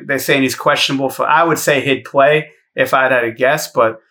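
Man in his thirties, podcast co-host, sharp, bright voice, blunt, sweary rants. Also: mid-range voice, fairly even intonation, moderate pace. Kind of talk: profane sports podcast banter.

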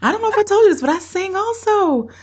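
A woman's voice: extremely high pitch, lively intonation, quick pace, background noise. A woman hosting an interview show, bubbly and effusive, laughing often and talking over a remote call. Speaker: podcast host. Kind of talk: interview chat.

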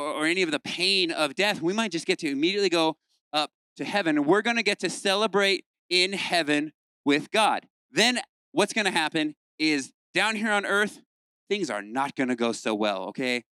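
A young man gives a lively, joking sermon to a youth group in a fairly high, crisp voice. The sound is close and dry, with no room around him. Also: normal speed, dry recording. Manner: lively, playful, acts out quoted voices. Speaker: youth pastor.

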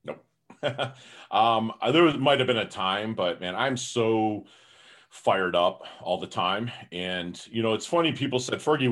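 Upbeat, fired-up man with a lowish voice. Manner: upbeat, energetic, frequent "you know". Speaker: podcast guest. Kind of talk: casual interview talk.

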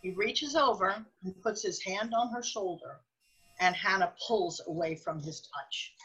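A woman reading scripted narration for a radio drama in a measured storytelling manner. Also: mid-range voice, fairly bright tone, slightly rough, poor audio.

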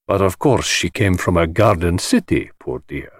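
A man's voice: low-pitched, lively intonation, moderate pace, slightly rough, no room sound, studio quality. A man voicing a flamboyant, spiteful foreign society host in an audiobook, with an affected, mockingly purring drawl. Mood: arch, amused. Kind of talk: audiobook character voice.

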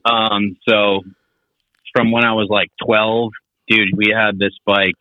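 A man's voice: lowish voice, little pitch movement, slow. A young man from Finland chatting casually as a podcast guest, rambling and laid-back with many fillers. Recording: tinny phone-like audio.

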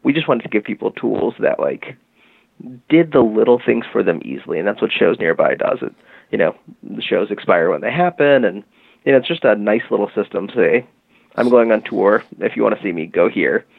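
Guest interviewee: lowish gravelly male voice, casual explainer, dry phone-line sound. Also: brisk, dry recording. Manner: relaxed, frequent fillers like "you know" and "um". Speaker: guest, music marketing tech company founder. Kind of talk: conversational interview, explaining a product.

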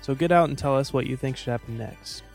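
A man co-hosting a podcast, reading a scripted sign-off with a deadpan, joking manner. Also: mid-range voice, quick pace, vocal fry. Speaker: podcast co-host and composer. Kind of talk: light scripted podcast outro with dry jokes. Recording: music bed behind voice.

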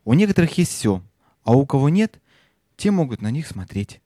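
Lively, curious young boy. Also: mid-range voice, normal speed, dry recording, clean studio sound. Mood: earnest. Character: curious, earnest young boy, fairy-tale hero. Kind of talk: children's audio play acting.

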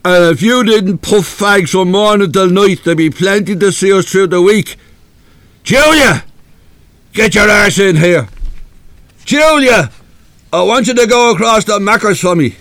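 Gravelly, bullying Irish drunkard husband. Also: male voice, high-pitched, animated, measured pace, a bit bright, no echo, full character voice. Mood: irritable, demanding. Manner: coarse, belligerent, cursing. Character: abusive hard-drinking husband, bullying and demanding. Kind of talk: live radio play acting.

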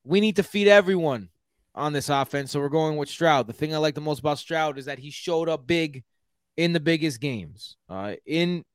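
A man's voice: on the high side, moderate pace, no echo, studio quality. A man from Houston co-hosting a casual sports podcast. He talks in a rambling way and repeats words while he thinks. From Houston, Texas. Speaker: podcast co-host.

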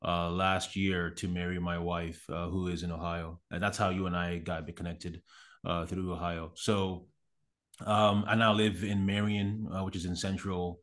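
A young man from Ghana, raised in Canada, speaking earnestly as a podcast guest, with many fillers and restarts. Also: sits low. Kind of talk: casual interview.

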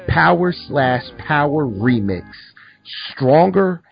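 A man's voice: a little high, sing-song, slow, vocal fry, husky, lo-fi sound, soft background music. A man hosting a casual podcast chat about rap songs, laid-back and swearing now and then. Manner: laid-back, swears, says "my bad" and "word up" a lot. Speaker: podcast host.

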